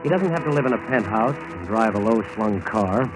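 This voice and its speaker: Measured American radio narrator over loud music. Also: male voice, on the low side, animated, poor audio. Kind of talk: dramatic 1940s radio scripted narration. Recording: old 1940s radio broadcast recording.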